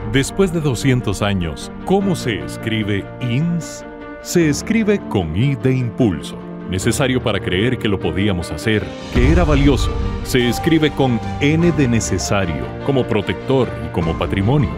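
A man's voice: mid-range voice, very animated, unhurried, music in the background. A man reading a scripted, inspirational advertisement as an announcer.

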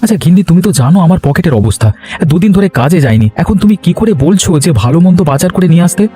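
A man's voice: high-pitched, big pitch swings, very fast, mellow, faint music behind. A man voicing a harried, penniless village husband in a cartoon, plaintive and complaining. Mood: worried, complaining. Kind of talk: animated cartoon dialogue.

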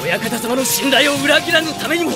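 A hot-blooded young samurai, very high-pitched with a creaky edge. He speaks in fervent, old-fashioned warrior phrases of devotion, in the style of an anime drama CD. Loud music runs behind him. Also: expressive, fairly quick. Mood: resolute, fervent. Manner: fervent, archaic warrior speech. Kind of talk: anime game drama CD acting.

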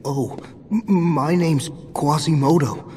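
A man playing a timid, gentle young hunchback in a video game, meek and halting, in an echoing hall. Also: a little high, slight background noise. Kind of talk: scripted video game voice acting. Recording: long echoing hall reverb.

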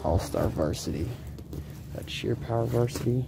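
A man with a mid-pitched voice talks casually in short, slangy bursts, half to himself. He sounds like a vlogger commenting on the fly while he works. It is recorded on a handheld camera in a large indoor space, with clear background noise around him. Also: normal speed. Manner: slangy, muttering short phrases. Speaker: vlogger, vintage clothing reseller. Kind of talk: casual off-the-cuff commentary while sorting clothes. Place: large indoor thrift warehouse.

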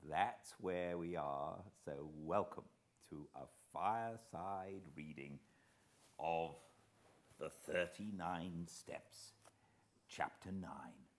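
A middle-aged man with a British accent, an audiobook narrator giving an expressive fireside reading. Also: rumbling low, extremely slow, pauses often. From Britain. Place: living room beside a fireplace.

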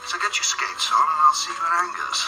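A man's voice: on the high side, fast, over loud music, close to an everyday voice, rough recording. A man playing a dry, authoritative boss of paranormal agents in an audio drama, droll, clipped and formal. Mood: droll, commanding.

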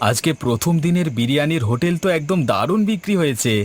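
A man's voice: a little high, lively intonation, fast talker, over music, close to an everyday voice. A man voicing a humble, eager village eatery owner in a cartoon story. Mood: content. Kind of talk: Bengali cartoon story dialogue.

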